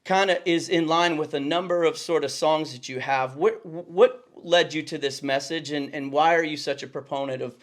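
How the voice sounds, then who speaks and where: A man's voice: a little high, moderate pace, hardly any pauses. A man hosting a casual interview, asking questions with enthusiasm and complimenting his guest. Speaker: podcast host.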